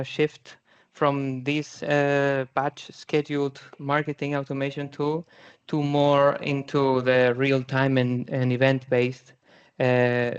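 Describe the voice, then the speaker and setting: A man with a Spanish accent gives a talk over a video call. His voice sits fairly high for a man, smooth and clear, with a flat, even melody. He hesitates and restarts often, and the call audio is dull and lo-fi. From Spain. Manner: friendly, hesitant, many fillers and restarts, short laughs. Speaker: guest speaker. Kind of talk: presentation at a virtual user group.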